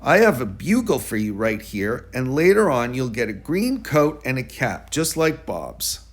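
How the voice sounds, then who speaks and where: A man's voice: mid-range voice, very animated, measured pace. A man voicing a bossy, stern but fair show director in a children's storybook, speaking with instructive authority.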